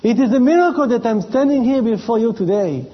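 A man with an Israeli accent, a missionary speaking to a church congregation with slow, deliberate phrasing and pauses. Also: very high voice, expressive. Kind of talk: church presentation. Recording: dull lo-fi sound.

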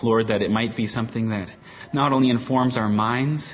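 Pastor, middle-pitched man, calm measured prayer, dull sound with faint hiss. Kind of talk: introduction and prayer.

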